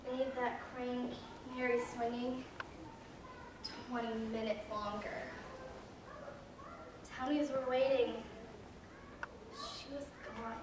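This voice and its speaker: A woman's voice: fairly high pitch, fairly even intonation, slow pace, long pauses. A woman on stage playing a detached townswoman in a readers theater drama. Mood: quiet, detached. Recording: very echoey hall, distant, noisy.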